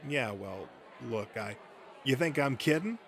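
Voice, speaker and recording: A man's voice: medium pitch, animated, unhurried, fairly bright tone, slight background noise. A man voicing a pushy, sleazy comic book dealer in a comic dub, rolling through his sales patter with relish. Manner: salesman patter, relishing each item in lists. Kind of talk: comic dub character acting.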